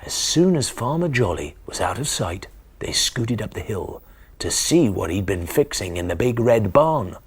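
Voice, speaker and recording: A man in his forties reading a children's bedtime story expressively, with dramatic, hushed emphasis. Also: lowish voice, sing-song, moderate pace, breathy edge. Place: small TV studio kitchen set, indoors. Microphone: lapel mic.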